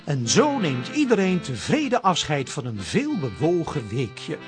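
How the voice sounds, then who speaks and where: An actor the as the wry storyteller of a radio play, reading scripted narration. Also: male voice, a little high, huge pitch swings, measured pace, music in the background. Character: wry storyteller summing up the week.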